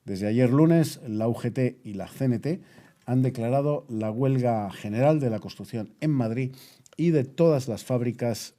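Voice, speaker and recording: A man presents the news with an accent from Spain. His voice is dark and relaxed, sitting at a medium pitch. He speaks as a bulletin presenter.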